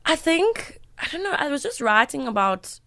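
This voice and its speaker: A woman's voice: sits high, big pitch swings, moderate pace, pushed and bright, no room sound, studio quality. A young South African woman in her twenties, a singer guesting on a radio show, chatting casually with plenty of fillers and laughs. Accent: South African English. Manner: chatty, giggly, lots of fillers. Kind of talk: casual interview chat. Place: radio studio. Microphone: studio mic.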